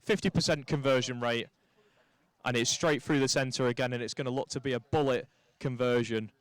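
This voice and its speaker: A young man from St Helens, giving lively live rugby commentary with chatty asides. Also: medium pitch, brisk, smooth. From St Helens, England. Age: young adult.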